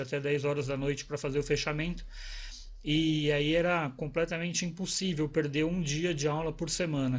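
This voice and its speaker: A man talks to camera in a relaxed, wandering way. His voice sits a little high for a man. He often restarts his phrases and tags them with little questions as he goes. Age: 40s. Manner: conversational, rambling with restarts and tag questions. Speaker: psychologist. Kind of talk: casual personal talk to camera.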